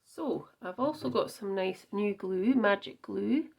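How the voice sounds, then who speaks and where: A woman's voice: mid-range voice, animated, unhurried, vocal fry. A Scottish woman chatting through a card-making demonstration, thinking aloud with frequent ums and small self-corrections. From Scotland. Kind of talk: casual crafting demonstration.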